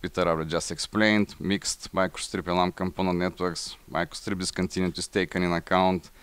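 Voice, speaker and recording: A middle-aged man with a Bulgarian accent gives a technical slide presentation, speaking haltingly with frequent pauses. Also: low-pitched, level tone, fairly bright tone, clear, resonant tone. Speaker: conference presenter, engineer. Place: indoor forum room, seated at a table before a banner.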